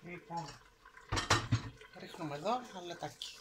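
Homely woman cooking at home. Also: lowish voice. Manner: homely, encouraging, explains each step. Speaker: cooking show host. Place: home kitchen. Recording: kitchen noise behind voice.

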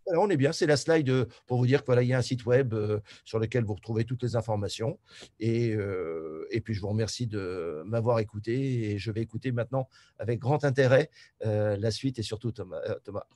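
A man presenting as an association president on a video call, halting and searching for words. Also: lowish voice, mellow, no room sound, clean studio sound.

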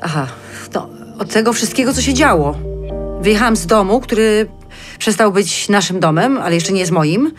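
Halting, strained actress as a woman around forty. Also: mid-range voice, expressive, music in the background, natural voice. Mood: exasperated. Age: middle-aged. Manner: halting, self-conscious, prickly. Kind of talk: naturalistic audio drama dialogue.

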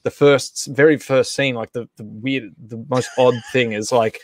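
A man's voice: medium pitch, fairly even intonation, measured pace, no room sound. A man hosting an online table read, chatting casually with a group on a video call. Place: indoor room, video call.

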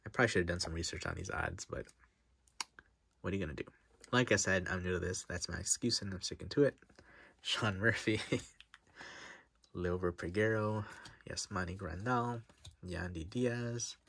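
Stuffy, gravelly low-voiced man. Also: moderate pace, long pauses, no echo. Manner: reads off names in a relaxed, chatty way, dropping to a near-whisper at times. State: stuffy nose. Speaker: card-opening vlogger. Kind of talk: casual commentary while opening card packs. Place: desk in front of a wall of card boxes.

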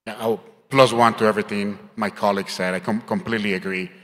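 A man's voice: fairly low pitch, fairly even intonation, fast talker, a bit bright. A middle-aged man from Colombia, a native Spanish speaker, giving emphatic, motivational advice as a panelist into a handheld microphone. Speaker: panelist, game industry professional. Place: conference stage. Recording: hall reverb from stage sound.

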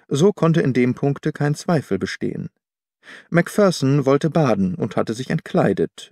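A man narrates a literary story in a measured, precise reading. His pitch sits in the middle range. The recording is dry and close, like a studio audiobook. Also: darkish tone, dry recording. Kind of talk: scripted literary narration, first-person detective story.

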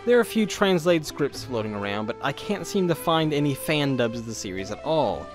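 A man's voice: on the high side, very animated, a little fast, over music. A man narrating a scripted video essay as its host, with wry, tongue-in-cheek asides.

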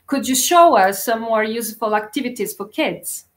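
A woman hosting a live webinar, putting questions to the guest in a polite, steady way over a video call. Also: on the high side, normal speed. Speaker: webinar host. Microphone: webcam on a video call.

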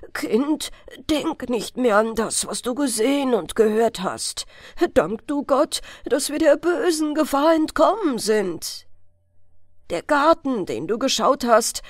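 A woman's voice: fairly high pitch, animated, slow pace, dry recording, studio quality. A woman voicing a stern old fisherman father in a fairy tale, admonishing his child emphatically and with vehemence. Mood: grave warning, then grave explaining.

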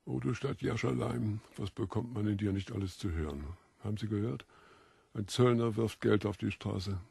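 An actor playing a pained, scornful Roman governor interrogating a prisoner in an audio drama. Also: male voice, fairly low pitch, normal speed, darkish tone, no echo, exaggerated voice. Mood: sardonic. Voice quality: gravelly, husky. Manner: curt, scornful, commanding.

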